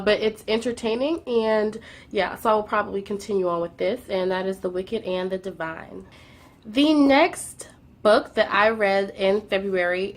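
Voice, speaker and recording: A young woman chatting through her monthly book wrap-up, a bit congested from a cold, with frequent "um"s and words doubled for emphasis. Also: medium pitch. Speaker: book vlogger. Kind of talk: casual monthly reading wrap-up.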